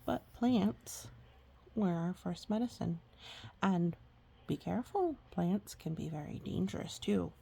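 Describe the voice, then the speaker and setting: A woman with a husky, breathy voice reading a picture book aloud to young children, with chatty, gently explaining asides and murmured agreement. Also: fairly low pitch, expressive, a little slow.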